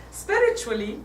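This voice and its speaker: An Egyptian woman in her forties gives a church talk with slides, halting and restarting often. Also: extremely high pitch, slight background noise. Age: 40s. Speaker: guest speaker. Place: church hall. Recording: echoey hall from far off.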